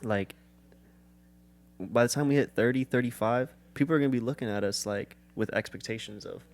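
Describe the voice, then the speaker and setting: A man's voice: medium pitch, a little fast, gravelly. A young man co-hosting a podcast, talking in an earnest, rambling, slangy way. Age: young adult. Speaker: podcast co-host. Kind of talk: casual chat.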